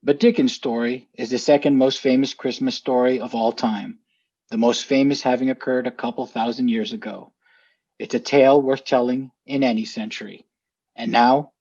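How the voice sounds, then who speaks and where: A man reading a scripted introduction to a program in a measured, articulate presenter's delivery. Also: mid-range voice, fairly even intonation, darkish tone, husky, muffled recording. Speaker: team representative introducing the show.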